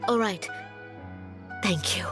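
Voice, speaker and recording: A woman's voice: medium pitch, slow pace, music in the background, natural voice. A woman acting a worried, pleading mother in a video game. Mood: anxious, pleading.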